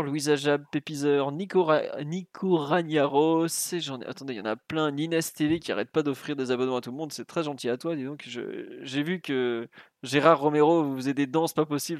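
A man hosting a casual live podcast, relaxed and chatty with plenty of fillers. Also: fairly high pitch, no room sound, studio quality. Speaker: podcast host.

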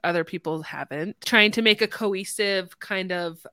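Woman, podcast host, very bright forceful voice, mid pitch, dry close recording, casual chat. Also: moderate pace, no room sound.

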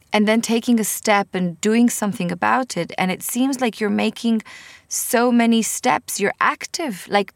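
A woman podcast host with an Israeli accent, speaking at a mid-range pitch. She asks earnest, reflective questions and probes gently. The sound is dry and close, with no room echo. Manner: earnest, thoughtful, gently probing. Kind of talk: reflective interview conversation.

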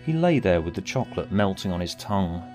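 A man's voice: low-pitched, very animated, fast, darkish tone. A man reading a scripted story aloud as its narrator, with music behind him. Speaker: author and narrator.

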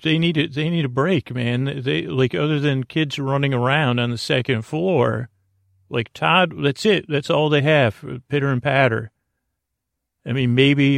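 A man with a lot of fry in his voice hosts a sleep podcast. He rambles haltingly, circling back on words and wandering into whimsical tangents. The sound is very dry, with no room around it. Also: medium pitch, no echo. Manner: halting, meandering, repetitive, drifting into whimsical asides. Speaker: podcast host. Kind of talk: rambling bedtime podcast monologue.

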